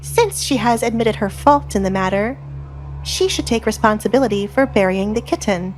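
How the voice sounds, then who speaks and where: A woman voicing a cool, haughty, sly young noblewoman in an audio drama, imperious and measured. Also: a little high, expressive, a little hiss or hum, voice pushed up, close to an everyday voice, low-quality recording. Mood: annoyed, imperious.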